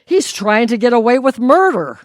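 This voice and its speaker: A woman's voice: fairly high pitch, sing-song, moderate pace, no echo, studio quality. A woman playing a nosy, gossipy neighbour wife in an audio comedy, insistent and conspiratorial. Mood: suspicious. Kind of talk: audio theatre comedy acting.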